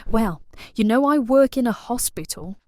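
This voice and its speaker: Blunt, randy British suburban woman, high-voiced, played by an actress. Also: animated, normal speed, dark tone, no echo, clean studio sound, close to an everyday voice. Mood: cajoling. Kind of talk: audio play acting.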